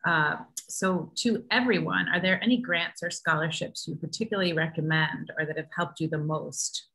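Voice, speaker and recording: Bright-voiced woman moderating a panel. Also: lowish voice, fairly even intonation, rough recording. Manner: brisk, reads audience questions. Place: large studio classroom. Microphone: video call webcam.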